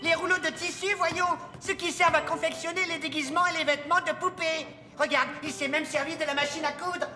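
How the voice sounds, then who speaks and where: Casting: bossy, excitable cartoon elf, extremely high voice, fussy. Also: female voice, brisk, faint music behind. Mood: indignant. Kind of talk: French children's cartoon dubbing.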